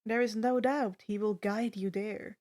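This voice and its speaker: A woman voicing a gentle old male centaur mentor who talks in a calm, formal, measured way. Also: mid-range voice, natural voice. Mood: calm, reassuring.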